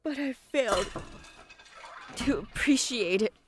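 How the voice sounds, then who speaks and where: Actress as a frightened dying teacher, slow halting delivery, slightly high, audible noise behind. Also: female voice, lively intonation. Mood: reflective. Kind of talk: audio drama acting.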